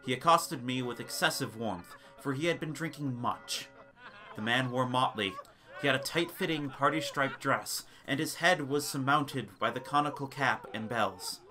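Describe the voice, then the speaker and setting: A man giving a dramatic scripted narration of a gothic tale, with theatrical, deliberate phrasing. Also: medium pitch, bright, forceful tone, faint music behind.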